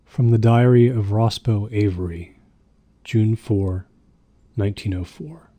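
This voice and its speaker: A man's voice: lowish voice, measured pace, mellow, dry recording. A man reading a first-person diary as audiobook narration, subdued and reflective. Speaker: audiobook reader.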